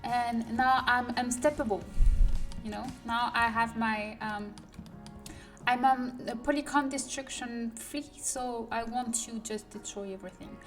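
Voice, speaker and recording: Slow-talking French-accented woman streamer, murmurs while working, recorded from afar over music. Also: a little high, fairly even intonation. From France. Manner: muttering to herself, often dropping to a whisper. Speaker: livestreamer. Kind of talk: casual livestream while working. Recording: distant mic with music behind.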